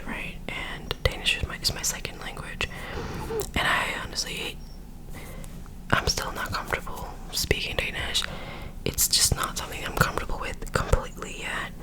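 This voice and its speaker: A young woman whispering a rambling story into a close microphone while doing her makeup, often correcting herself as she goes. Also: rough recording. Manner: rambling, self-correcting, filler-heavy storytelling. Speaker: ASMR creator.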